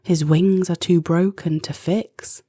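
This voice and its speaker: A woman narrator voices a male vet from a children's storybook. He is gentle, regretful and plain about things, advising slowly in a soft, breathy tone. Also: lowish voice, fairly even intonation, no room sound, clean studio sound.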